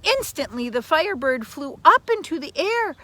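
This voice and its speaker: A woman's voice: sits high, big pitch swings, background noise. An older woman, a grandmotherly storyteller, reading to children in a dramatic, theatrical way with emphasized words. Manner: theatrical, slow and deliberate, drops into whispers, repeats words for emphasis. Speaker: storyteller and primary teacher.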